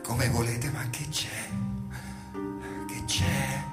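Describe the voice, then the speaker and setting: A frightened, innocent wife, acted by a man in a stage tragedy, pleading with repeated phrases. The voice echoes heavily over loud music. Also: medium pitch, fairly even intonation, drawn-out words, pitched above the performer's own voice. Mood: anxious.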